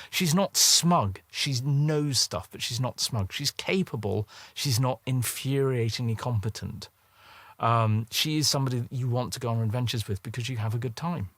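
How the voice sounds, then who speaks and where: Male interviewee, medium pitch, close dry recording, emphatic and repetitive advocate. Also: fairly bright tone, dry recording. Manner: emphatic, repetitive phrasing, acts out quotes. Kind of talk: documentary interview, fan-like advocacy.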